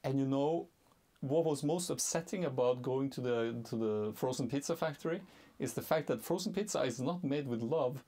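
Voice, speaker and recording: A man in his thirties hosting a casual interview, teasing and playful, halting with fillers, in a cluttered storage room. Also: medium pitch, dark tone. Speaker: talk show host.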